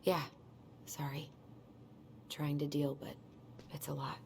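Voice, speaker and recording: An actress playing an earnest, subdued young woman in a video game, soft-spoken and conciliatory. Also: sits low, level tone, slow, a little hiss or hum. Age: young adult. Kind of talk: naturalistic video game acting.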